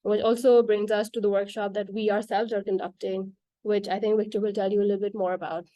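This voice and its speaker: A woman's voice: mid-range voice, little pitch movement, fast talker, dark tone, no echo. A woman whose first language is Urdu, earnestly explaining why art matters for children, with frequent "like" and "right?" fillers. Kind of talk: casual explanatory talk.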